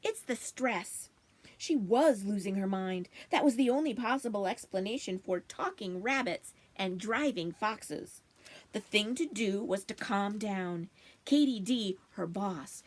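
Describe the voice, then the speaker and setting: A woman reading a children's storybook aloud as expressive narration, in the manner of a library storytime. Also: fairly high pitch.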